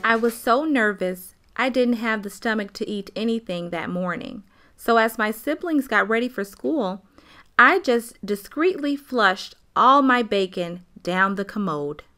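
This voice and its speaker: A woman's voice: a little high, animated, unhurried, sharp tone. An American woman playing a narrator who looks back fondly on childhood in a radio play. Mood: nostalgic. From United States.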